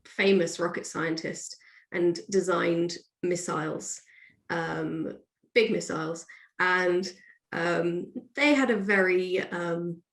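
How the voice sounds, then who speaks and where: A woman's voice: lowish voice, takes it slowly. A woman author being interviewed, chatting casually and thinking aloud in a discursive way. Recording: roomy, echoey sound.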